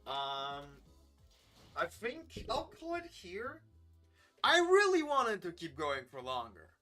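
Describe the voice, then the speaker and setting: A streamer who is hoarse and somewhat sick, talking casually over a game and swearing freely. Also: medium pitch, wildly swooping pitch, takes it slowly, sharp tone, soft background music.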